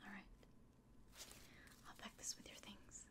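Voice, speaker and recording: A woman playing a kindly, caring innkeeper in an ASMR fantasy roleplay, whispering gently and reassuringly. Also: a little slow. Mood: caring, cautionary.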